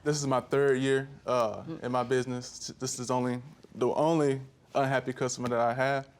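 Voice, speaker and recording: Mid-pitched man defending himself. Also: little pitch movement, moderate pace, clear, resonant tone. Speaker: defendant, videographer. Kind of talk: conversational courtroom testimony. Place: TV courtroom studio.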